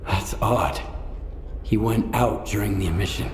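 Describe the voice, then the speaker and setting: An actor playing a gruff, laconic ex-soldier in a video game, terse and guarded. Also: male voice, on the low side, unhurried, a little hiss or hum, lightly acted. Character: gruff, laconic male ex-soldier stalker protagonist. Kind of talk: dramatic video game cutscene acting. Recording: echoey reverberant space, music behind.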